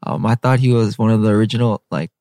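A young man who is a guest in a casual interview, speaking in a soft-spoken, reserved way with hesitations and fillers. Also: lowish voice, fast, no echo, clean studio sound. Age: young adult. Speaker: K-pop group member, guest.

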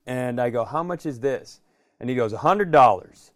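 Animated man telling casual comic stories. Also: medium pitch, normal speed, no echo, clean studio sound. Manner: animated, swearing, acts out dialogue. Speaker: comedy podcast co-host. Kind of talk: casual comedic storytelling.

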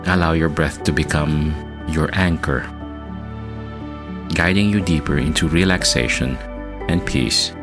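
Very deep-voiced man guiding meditation. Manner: measured, soothing delivery with long pauses. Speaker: meditation podcast host. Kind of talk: slow guided meditation narration. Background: music bed.

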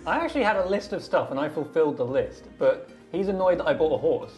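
A man's voice: a little high, lively intonation, fast, darkish tone, faint music behind. A man playing in a tabletop game, chatting casually and playfully. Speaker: player.

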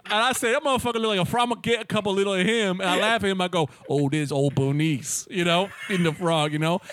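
A man playing a loud, profane frog collector from the Bronx with a New York accent, just out of a foreign prison, in an improvised comedy sketch. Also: fast talker, no echo. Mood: rambling. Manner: loud, profane, rambling, high-pitched.

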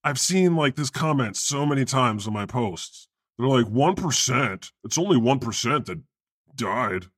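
A man's voice: medium pitch, lively intonation, measured pace, no room sound, clean studio sound. A man co-hosting a casual comedy podcast, talking in a sarcastic, profane, opinionated way. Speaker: podcast co-host. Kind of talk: casual comedic panel talk.

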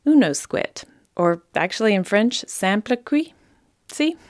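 A woman's voice: medium pitch, sing-song, a little slow, darkish tone, no echo. A British woman co-hosting a podcast, narrating from a script in a wry, dry-humored way. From United Kingdom. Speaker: podcast co-host.

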